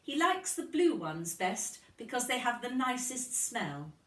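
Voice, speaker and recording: An eager little girl from a children's storybook, voiced by a woman reader. Her pitch sits high and leaps about with excitement. The sound is roomy and a little distant. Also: a little slow. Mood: chatty, proud.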